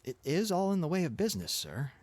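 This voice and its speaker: Man playing an eager, lively young newspaper reporter. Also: a little high, fast, voice pushed up. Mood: startled. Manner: earnest, deferential, keen.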